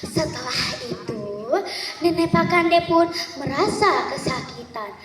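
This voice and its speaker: A woman narrating a folk tale theatrically as a contestant, deliberate and with dramatic pauses. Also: high-pitched, fairly bright tone, soft background music. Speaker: storytelling contestant. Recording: echoey hall, distant microphone.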